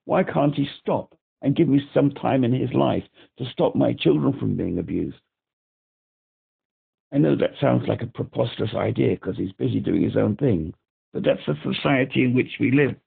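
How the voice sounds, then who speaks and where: Male British caller on a phone line, very gravelly and husky, agitated and rambling. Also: mid-range voice, normal speed. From Britain. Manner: rambling, agitated, repeats himself. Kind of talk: phone call to a helpline. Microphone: telephone.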